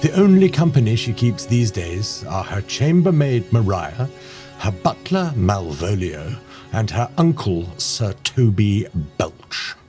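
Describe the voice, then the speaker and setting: Animated male narrator over music. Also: lowish voice, takes it slowly. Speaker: narrator of an audio play. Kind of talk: scripted storybook narration.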